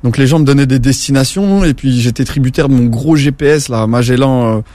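A man's voice: medium pitch, a little fast, warm tone, dry recording. A man from France, a former taxi driver, talking casually as an interview guest, rambling and slangy with many restarts.

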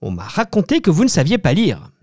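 Woman voicing a low booming storybook owl, hooting, swooping pitch, sly and pleased with himself. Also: wildly swooping pitch, a little fast, mellow, husky, no echo, studio quality, full character voice. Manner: hoots, booming and self-satisfied. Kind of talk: storybook character voice.

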